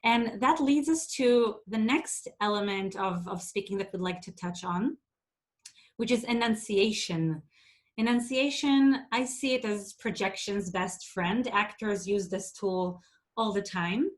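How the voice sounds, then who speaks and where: A woman from Israel whose first language is Hebrew, coaching public speaking in a clear, deliberate way and sometimes exaggerating words to demonstrate. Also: mid-range voice. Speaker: presentation skills coach. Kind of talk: instructional talk.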